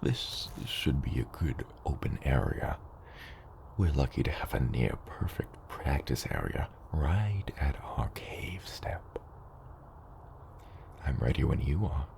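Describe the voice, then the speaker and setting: A man voicing a gentle, fatherly mountain dragon in a soft ASMR roleplay, patient and measured, with formal wording and hesitant asides. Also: expressive, heavily acted. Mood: calm, guiding. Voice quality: gravelly, very deep. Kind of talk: ASMR roleplay, soft slow scripted monologue. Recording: roomy long cave-like reverb, faint noise.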